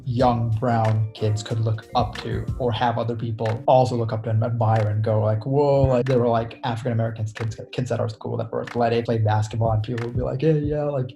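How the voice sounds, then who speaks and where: A young man from Sri Lanka, talking in a reflective, chatty way with many fillers as an interview guest. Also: mid-range voice. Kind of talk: casual interview.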